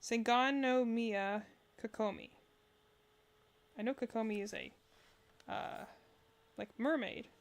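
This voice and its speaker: A woman's voice: fairly high pitch, slow, pauses often. A woman giving casual reaction commentary as a streamer, rambling and thinking aloud as she goes. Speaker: streamer reacting to game character demos.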